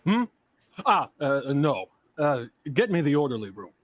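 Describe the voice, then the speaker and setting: A man's voice: on the high side, very animated, measured pace, noisy background. A man playing a matter-of-fact hospital doctor in a vintage-style radio drama, offhand and businesslike.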